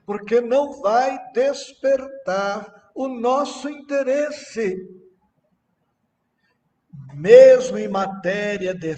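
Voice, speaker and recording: Slow high-voiced Brazilian male preacher, echoey room. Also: a little mellow. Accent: Brazilian Portuguese. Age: middle-aged or older. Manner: deliberate, oratorical delivery in short emphatic phrases. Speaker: Spiritist lecturer. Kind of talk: religious lecture with anecdotes. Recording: echoey reverberant room, distant microphone.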